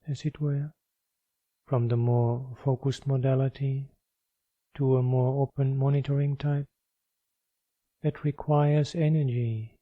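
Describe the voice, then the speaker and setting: A man leading a guided meditation as a teacher, speaking in measured, deliberate phrases of gentle instruction. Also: mid-range voice, dry recording, dull audio.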